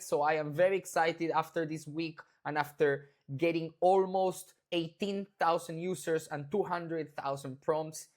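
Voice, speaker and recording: An adult from Argentina with an Argentine Spanish accent, giving a casual, enthusiastic and informal walkthrough of software updates. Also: medium pitch. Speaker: product maker presenting software updates. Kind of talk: casual product update demo.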